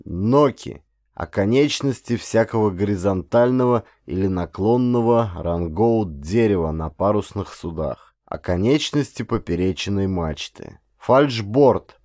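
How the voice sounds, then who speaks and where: Low-voiced man narrating dramatic adventure. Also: a little mellow, dry recording, clean studio sound. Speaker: audiobook narrator, actor.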